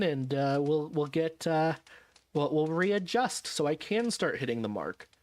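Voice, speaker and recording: Tired, rambling male streamer. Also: on the high side. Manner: rambling, self-correcting, wry. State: tired, jet-lagged. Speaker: vtuber streamer. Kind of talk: casual stream chat.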